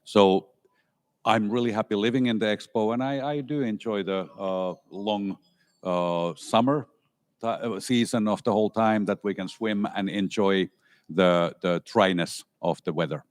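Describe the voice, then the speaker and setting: Deep-voiced Finnish man on a panel. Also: measured pace, no room sound. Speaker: student panelist. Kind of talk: panel discussion.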